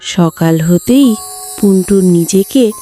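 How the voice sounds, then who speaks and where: A woman's voice: fairly low pitch, slow pace, warm tone, soft background music. A woman reading scripted narration for a children's cartoon story.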